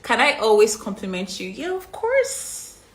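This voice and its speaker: A woman in her twenties with a Nigerian accent, reading out fan questions and answering them in a relaxed, rambling way with plenty of ums. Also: on the high side, unhurried. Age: 20s. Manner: reads fan questions aloud then answers chattily with many ums. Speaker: reality show contestant answering fan questions. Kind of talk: casual live-stream Q&A. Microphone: phone on a live stream.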